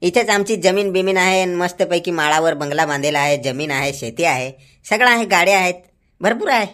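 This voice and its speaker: A man's voice: high-pitched, a little fast, fairly bright tone. A man voicing a scheming, boastful young village lad in an amateur comedy cartoon, talking in a rustic, colloquial way. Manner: rustic, colloquial, cocky with hesitant stalling.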